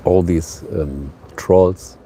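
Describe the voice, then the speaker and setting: Man, English, slow, rough-edged voice; halting casual streamer talk from a phone outdoors. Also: low voice, fairly even intonation, warm tone. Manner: hesitant, halting, many fillers and restarts. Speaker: live streamer. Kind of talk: casual live stream chat. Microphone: phone on a tripod.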